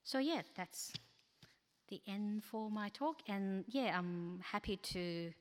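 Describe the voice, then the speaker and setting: A woman's voice: mid-range voice, animated, takes it slowly. A woman whose first language is not English gives a practical, advice-giving presentation with slides at a church ministry training, with frequent hesitations. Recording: echoey hall with long reverb.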